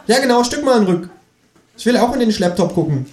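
A man putting on a high, cocky, mock-innocent male kangaroo who loves twisting words, bouncing all over his pitch like a storybook rascal. It is a live reading, and the voice rings through an echoey room with an audience laughing. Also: animated, quick pace, darkish tone. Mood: cheeky. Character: cheeky scheming male kangaroo, fond of wordplay. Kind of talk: live reading, acted dialogue.

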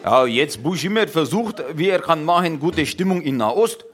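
A man's voice: a little high, expressive, normal speed, slightly rough. A man playing a broken-German speaker who mocks world politics in a comedy monologue, leading every line with "ja". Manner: broken grammar, starts each line with "ja".

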